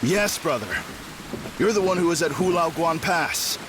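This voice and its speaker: A man's voice: a little high, lively intonation, moderate pace, background noise, lightly acted. A young man playing a hot-headed, loyal warrior son in a video game cutscene, intense and commanding. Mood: stern urgency.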